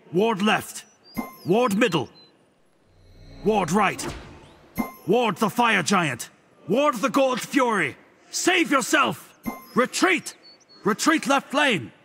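A man's voice: very high-pitched, gravelly, pushed and bright, full character voice. A man voicing a haughty, smugly witty god sage in a video game, with a pompous, theatrical delivery. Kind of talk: video game character voice lines.

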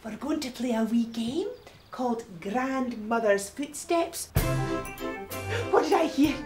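Warm Scottish granny childminder for kids' TV. High, unhurried, encouraging, music underneath. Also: female voice, animated. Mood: playful, encouraging. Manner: sing-song, encouraging, addressing small children. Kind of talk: children's television acting. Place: furnished playroom set. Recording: music behind the voice.

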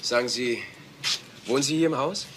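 An actor playing a sober, inquisitive police officer in a German crime radio play. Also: male voice, mid-range voice, normal speed, background noise, natural voice. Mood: inquiring.

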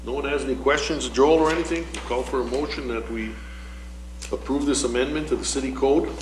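A man chairing a city council meeting as mayor, calling motions and votes in a brisk, routine manner. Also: medium pitch. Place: council chamber, large room. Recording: echoey council chamber with background noise.

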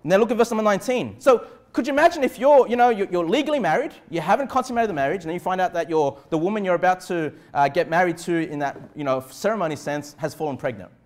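High-voiced Australian pastor preaching. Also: male voice, expressive, fast talker, hardly any pauses. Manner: emphatic, conversational preaching with check-in tags. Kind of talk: sermon. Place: indoor church pulpit in a small room. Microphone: clip-on lapel mic.

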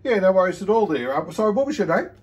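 A man's voice: sits high, very animated, fast, a little mellow, recorded from a distance, slight background noise. An Australian man chatting casually and encouragingly as an amateur radio operator, recorded indoors on a phone camera. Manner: friendly, encouraging. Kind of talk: casual ham radio chat. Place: indoor room at radio desk.

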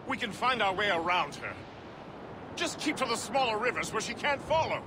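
An actor playing a jovial, talkative first mate on a sailing ship, chatty and full of banter. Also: male voice, high-pitched, normal speed. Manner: chatty, lively sailor banter. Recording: loud wind and sea noise.

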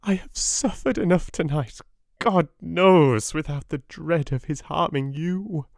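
A man's voice: unhurried, creaky, no room sound, clean studio sound. A man voicing a terrified young wife. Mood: pleading. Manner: pleading, high and strained. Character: terrified young wife begging her husband to stay.

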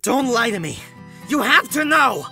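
A man's voice: very high voice, sing-song, unhurried, vocal fry, pushed and bright, soft background music, exaggerated voice. A man acting an emotional, pleading young man in an amateur audio drama, desperate for his missing brother. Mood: angry, accusing. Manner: emotional, pleading, sighing delivery.

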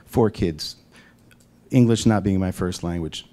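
Wry, low-voiced man on a panel. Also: unhurried, warm tone. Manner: wry, self-deprecating humor. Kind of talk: panel discussion answer. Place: auditorium stage panel.

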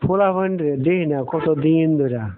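A man's voice: on the high side, normal speed, natural voice. Man playing humble village servant, thin phone-like sound. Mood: homesick, pleading. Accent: rural Bangladeshi. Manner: plaintive, deferential. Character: weary, humble village father working as house servant. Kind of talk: cartoon dialogue.

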